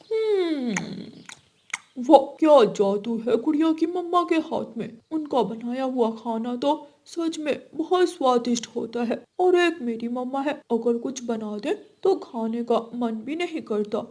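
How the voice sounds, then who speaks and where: A woman's voice: sits high, lively intonation, measured pace, darkish tone. A woman voicing a sly, greedy young male crow in a children's cartoon, smug and relishing.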